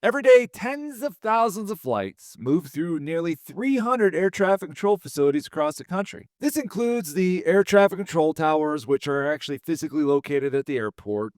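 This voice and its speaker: A man, a former air traffic controller explaining things in a steady, plainspoken teaching tone. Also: fairly high pitch, dry recording, studio quality. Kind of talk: explainer talk to camera.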